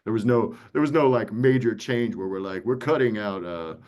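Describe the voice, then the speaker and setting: A man's voice: lowish voice, moderate pace, a little mellow. A man, an audiobook producer, explaining his work in loose, conversational phrases during an interview. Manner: conversational, loosely phrased with frequent "you know". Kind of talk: casual explanatory interview talk.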